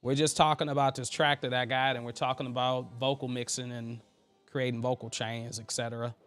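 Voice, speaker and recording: A man's voice: mid-range voice, little pitch movement, brisk, fairly bright tone, smooth, faint music behind. Chatty thin-voiced man teaching mixing. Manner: loose and chatty, full of fillers and asides to the chat. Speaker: host teaching vocal mixing. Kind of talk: casual live tutorial, chatting with viewers.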